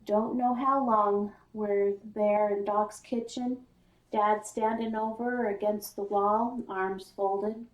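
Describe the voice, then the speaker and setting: A woman reading a children's novel aloud in first person, with deliberate, expressive storytelling. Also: mid-range voice, highs cut off. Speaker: audiobook reader, school speech paraprofessional. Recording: echoey room, slightly distant mic.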